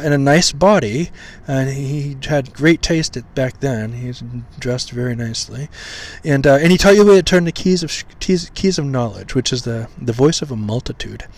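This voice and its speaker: A man hosting a podcast, teaching scripture in an informal way with a slightly hoarse, weak voice, full of pauses, ums and restarts while he tries to enunciate carefully. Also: on the high side. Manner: halting, many ums and restarts, deliberate enunciation.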